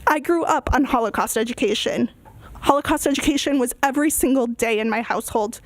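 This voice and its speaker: A woman's voice: fairly high pitch, brisk, no room sound. A woman speaking earnestly and emphatically at a public meeting, in short repeated phrases. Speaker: resident giving public comment.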